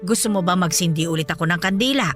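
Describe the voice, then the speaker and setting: A woman's voice: lowish voice, fast, faint music behind, natural voice. A woman acting a blunt, unfazed older folk healer in a Filipino radio drama, folksy and scolding. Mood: evasive. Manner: folksy, scolding, matter-of-fact.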